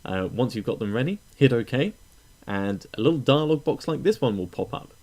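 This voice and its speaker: A man narrating a casual step-by-step software tutorial in a conversational, explanatory way, pausing often with uhs. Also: medium pitch, animated.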